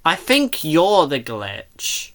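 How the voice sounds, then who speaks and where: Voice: medium pitch, wildly swooping pitch, extremely slow, a bit bright, slight background noise. Someone giving casual reaction commentary on a video, rambling and second-guessing as they think aloud. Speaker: reactor commenting on a fan comic dub.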